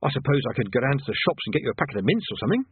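A man voicing a nervous, cowardly male wizard who talks his way out of trouble, hurried and sarcastic. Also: medium pitch, very animated, slightly rough, pitched above the performer's own voice. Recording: tinny phone-like dry sound.

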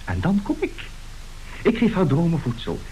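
An actor playing a formal, excitable, grandiloquent gentleman given to florid speech in a radio play. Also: fairly high pitch, normal speed, low-quality recording. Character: flustered, grandiloquent gentleman dealer in love letters. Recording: hissy old radio drama recording.

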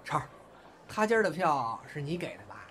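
A man's voice: on the high side, very animated, moderate pace, a bit bright. A man playing a jealous, cheeky young brother in an audio drama, chatty and needling in manner. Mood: playful, curious. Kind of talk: Chinese audio drama dialogue. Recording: faint background noise and ambience.

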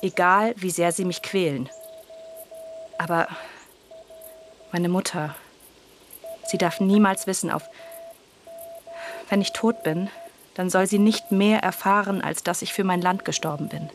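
A woman's voice: fairly low pitch, measured pace, pauses often. Radio drama role: a bold young female secret agent, proud and defiant, over audible ambient noise. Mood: grave, resolute. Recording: clear background noise and ambience.

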